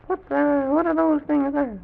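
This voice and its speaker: Creaky, very high-pitched little old gentleman. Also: level tone, a little slow, exaggerated voice. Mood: wondering. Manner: rambling, repetitive, exclaims "goodness me". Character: President Pip, quaint excitable little bearded old gentleman. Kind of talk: 1920s-30s children's radio serial acting. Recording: tinny, noisy old radio recording.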